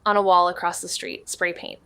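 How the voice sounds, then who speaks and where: An actress playing an observant, understated investigator in a noir audio drama, measured and deadpan in narration and dialogue. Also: female voice, lowish voice, clean tone, no echo. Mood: brooding narration.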